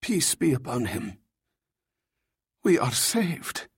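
A man doing a raspy starving prisoner. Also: medium pitch, big pitch swings, takes it slowly, no room sound, studio quality, voice pushed up, heavily acted. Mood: fervent. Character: starving male escaped prisoner, wasted and fervent.

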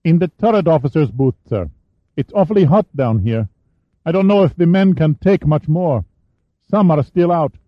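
A man voicing an eager seventeen-year-old boy serving as a ship's gunner, in a high, youthful voice. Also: expressive, normal speed, dry recording. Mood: earnest. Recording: dull, dry studio sound.

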